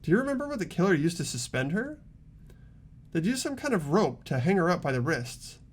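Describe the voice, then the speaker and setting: An earnest teenage boy detective in a video game, played by a man. His voice sits fairly high and moves with lively pitch as he politely and deliberately explains his reasoning. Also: breathy edge. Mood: explaining. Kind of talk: amateur game voice acting.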